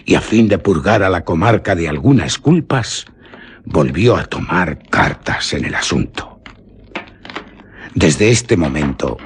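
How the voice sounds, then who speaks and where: A man's voice: low voice, breathy edge. A man narrating a gothic horror tale for radio drama in a solemn, theatrical, literary manner.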